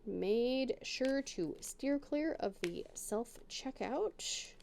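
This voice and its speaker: A woman's voice: sits high, lively intonation, very slow, creaky, darkish tone, slight background noise. A middle-aged woman chatting casually at her dining room table while crafting, rambling and poking fun at herself. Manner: rambling, self-deprecating, chatty. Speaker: crafting channel host.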